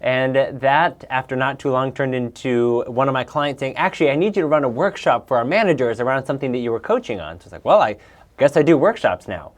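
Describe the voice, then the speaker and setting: An enthusiastic man in his thirties, raised in South America, tells his story in a relaxed interview. His voice sits at a middle pitch. He restarts sentences often and lifts his voice when he talks about the work he loves. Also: fairly quick. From Peru and Bolivia. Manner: upbeat, enthusiastic, with frequent restarts. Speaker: guest, executive coach. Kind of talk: casual interview.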